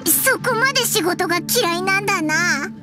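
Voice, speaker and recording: Squeaky, cheeky little fairy girl. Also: lively intonation, moderate pace, loud music behind the voice. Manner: blunt, childish, boyish phrasing. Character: small childlike floating fairy girl, cheeky companion. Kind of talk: Japanese video game voice acting.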